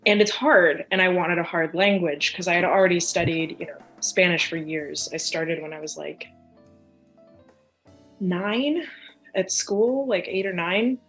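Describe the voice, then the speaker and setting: A woman's voice: on the low side, measured pace, fairly bright tone, faint music behind. A young adult woman from near Chicago, a podcast guest chatting casually and animatedly, full of fillers and quoted asides. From United States, near Chicago. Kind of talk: casual conversational interview.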